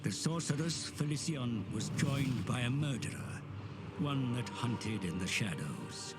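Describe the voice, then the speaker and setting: A male actor playing a grave, ominous storyteller, narrating an epic fantasy game cutscene in a measured, dramatic way. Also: mid-range voice, sing-song. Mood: dramatic, foreboding. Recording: loud cinematic music behind the voice. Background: music.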